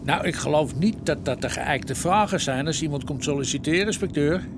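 Easygoing cop guy, done by an actor, voice a bit high, wry and informal, radio play. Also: a little fast, background noise, natural voice. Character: easygoing, down-to-earth police detective, junior to the inspector. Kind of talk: naturalistic Dutch radio drama acting.

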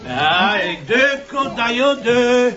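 Ageing Flemish cop and husband, jovial, dodging, animated pitch, muffled radio-drama sound. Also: male voice, takes it slowly, faint music behind, exaggerated voice. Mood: jovial, coaxing. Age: middle-aged. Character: evasive, jovial ageing policeman, husband and father.

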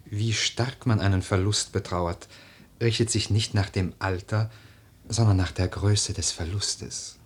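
Radio drama role, male actor: stubborn, grieving young aristocrat, deep solemn voice, slightly noisy ambience. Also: fairly even intonation, measured pace, close to an everyday voice. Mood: mournful. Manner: earnest, solemn, formal. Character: grieving young nobleman of twenty-three, stubborn and earnest. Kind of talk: literary German radio drama acting.

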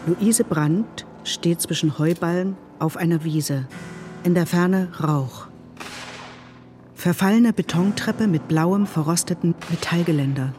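Measured woman narrator over music. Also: lowish voice, a little mellow. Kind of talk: scripted radio drama narration, stage directions.

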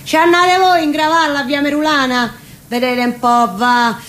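A woman's voice: high-pitched, steady tone, moderate pace, fairly bright tone, slight background noise, voice pushed up. A woman voicing a brusque male police chief who gives orders in an offhand, colloquial way. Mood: offhand, dismissive.

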